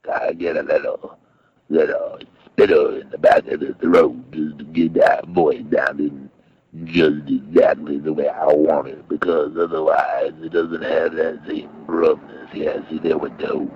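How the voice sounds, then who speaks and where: A gruff old blues singer, very deep and slow, full of boastful swagger. His throaty drawl sits over plucked guitar in a muffled lo-fi recording. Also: flat delivery, no echo, exaggerated voice. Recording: dull lo-fi sound, music behind.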